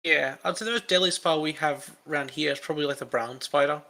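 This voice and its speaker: A man's voice: fairly high pitch, fast, creaky, pushed and bright. An Australian man who is a guest on a podcast, talking casually and rambling through long explanations.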